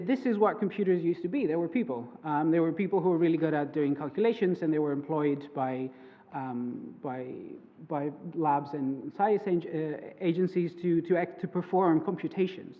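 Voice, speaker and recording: A man's voice: on the high side, normal speed. A young man whose first language is Greek gives an academic conference talk as a PhD student, hesitant, with many fillers and restarts. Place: lecture hall. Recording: phone-like, long hall reverb.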